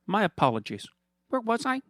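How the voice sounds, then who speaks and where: A man's voice: sits high, huge pitch swings, a little fast, a bit bright, no echo, clean studio sound, voice pushed up, full character voice. A man voicing a smug, villainous male hand model who gloats in a theatrical, condescending way. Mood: gloating. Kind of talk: comedic storytelling voice.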